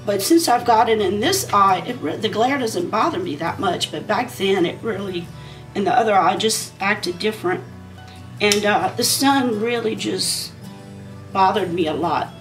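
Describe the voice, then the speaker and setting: A woman in her forties, a beauty vlogger, chatting casually and rambling while she does her makeup, her voice a bit hoarse from a sinus issue. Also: mid-range voice, normal speed, recorded from a distance, faint music behind, poor audio. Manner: rambling and conversational, talking while applying makeup.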